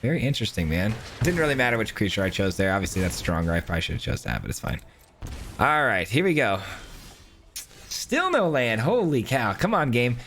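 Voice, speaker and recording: Hoarse man chatting through a card game. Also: mid-range voice, big pitch swings, brisk, fairly bright tone, a little hiss or hum. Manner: chatty, upbeat, thinking aloud. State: hoarse, losing his voice. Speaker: gaming channel host. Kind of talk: casual live gameplay commentary.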